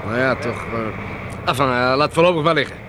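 Actor as a brisk supervisor amid vehicle noise. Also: male voice, medium pitch, lightly acted. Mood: grudging, friendly. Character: brisk male depot supervisor, bossy but friendly. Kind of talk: Dutch radio drama acting. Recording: noisy outdoor vehicle background.

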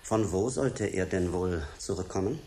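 An actor playing a stern, threatening European secret service agent in a radio drama, curt and commanding. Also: male voice, low-pitched, normal speed, a little mellow. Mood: cold, pressing. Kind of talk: German radio drama acting.

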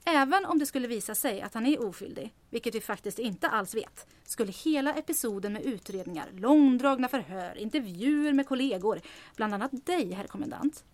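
A woman playing a sharp young assistant in an audio drama, reasoning things out in a deliberate, emphatic way. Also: on the high side, animated, close to an everyday voice. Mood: knowing, serious.

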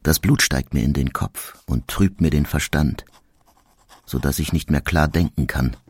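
A man reading the quoted letters of a young engineer in an audiobook, measured and reflective. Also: very deep voice, husky, heavily acted. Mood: rueful.

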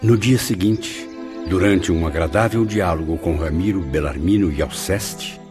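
An actor playing a dignified narrator of a spiritual memoir in a radio drama, formal and literary. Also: male voice, low voice, measured pace, over music. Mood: grave, calm.

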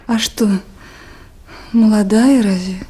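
A woman's voice: mid-range voice, takes it slowly, natural voice. An actress playing an anxious, emotional young woman at a remote river station in a Soviet radio play. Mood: wistful. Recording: noisy 1980s radio drama recording.

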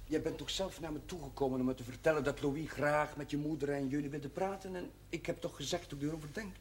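An actor playing an earnest, admonishing village chaplain in a television drama, with a firm way of talking. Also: male voice, on the high side, fairly even intonation, fast talker, lightly acted. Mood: stern. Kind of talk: 1970s television drama acting. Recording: noisy 1970s TV soundtrack.

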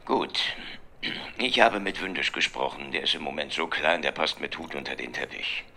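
A man playing a cool, conspiratorial police president in a radio play, speaking with measured, curt authority. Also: rumbling low, bright, forceful tone, a little hiss or hum, exaggerated voice.

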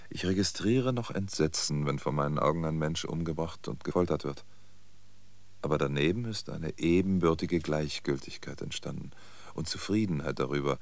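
An older actor playing a weary, mocking old fraud in a radio drama, halting and given to derisive laughter. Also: male voice, very deep voice, vocal fry. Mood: weary, reflective. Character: bitter, mocking old man, weary self-confessed fraud. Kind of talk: German radio drama acting.

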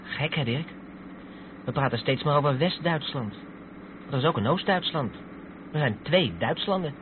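A man's voice: on the high side, animated, normal speed, close to an everyday voice. An actor playing a curious, rather naive co-driver who questions his companion in a radio drama. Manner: inquisitive. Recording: tinny narrow-band radio recording with vehicle noise.

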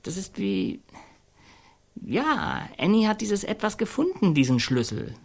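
Earnest radio drama narrator, mid pitch, kinda awestruck, keeps hesitating and repeating words. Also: wildly swooping pitch, measured pace, vocal fry, full character voice. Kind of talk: radio play monologue in halting colloquial speech.

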